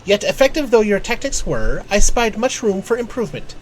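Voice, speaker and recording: A woman's voice: medium pitch, big pitch swings, a little fast, slight background noise, voice pushed up, lightly acted, poor audio. A performer voicing a bookish young woman, a precise and absent-minded arcanist tutor in a fantasy game, speaking formally and wordily. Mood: formal, self-assured. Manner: formal, verbose, analytical. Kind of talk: reading game character dialogue aloud.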